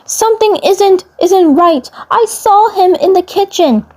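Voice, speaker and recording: Girl doing a scared cartoon kid, high voice, stammers n repeats himself, all freaked out. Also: animated, measured pace. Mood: frightened, urgent. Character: frightened boy, panicky and bewildered.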